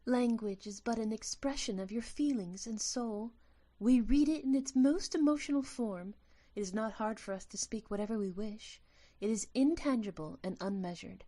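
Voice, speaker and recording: A woman voicing a tiny, solemn, tender female sylph in an audio drama, with soft breathy delivery and formal, poetic phrasing. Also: on the high side, normal speed, pitched above the performer's own voice, natural voice. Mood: serene.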